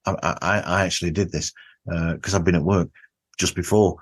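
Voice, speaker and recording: A man with a fairly low voice hosts a podcast interview. He talks in a casual, conversational way, as if chatting with a guest. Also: fairly even intonation, fairly quick, breathy edge.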